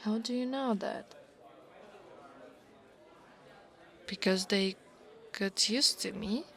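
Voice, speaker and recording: Woman acting proud, insecure young dragon bully. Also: mid-range voice. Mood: wary, grudging. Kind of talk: ASMR roleplay acting.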